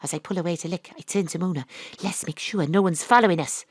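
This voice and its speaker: Deadpan wry woman narrating noir parody. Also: fairly low pitch, fast, dry recording. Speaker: narrator and lead actor, private detective. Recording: dry home studio.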